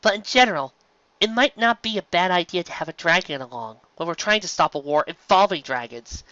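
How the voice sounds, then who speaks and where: A man voices a sensible female pony who leads the group in a storybook tale. She speaks firmly and in measured phrases. The voice is lifted in pitch, with a bright edge. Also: expressive, lo-fi sound, slight background noise. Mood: reasonable. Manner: measured, firm. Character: sensible, serious female cartoon pony leader.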